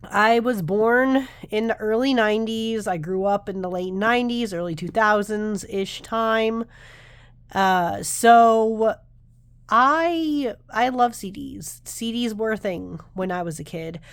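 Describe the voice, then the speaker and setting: A woman in her thirties talks casually to camera as a tarot vlogger, her voice a little nasal as she gets over the flu. She rambles and interrupts herself as she goes, at a middling pitch. The sound is dry and close, with no room echo. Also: slow, smooth. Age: 30s. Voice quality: slightly nasal. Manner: chatty, rambling, self-interrupting. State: recovering from flu.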